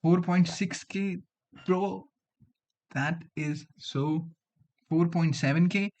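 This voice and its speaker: A man's voice: fairly high pitch, slow pace, darkish tone. A man in his twenties from Delhi with an Indian accent and Hindi as his mother tongue, chatting casually and slangily with livestream viewers. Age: 20s. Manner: slangy, bantering, often says bro. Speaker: livestream host, student coder.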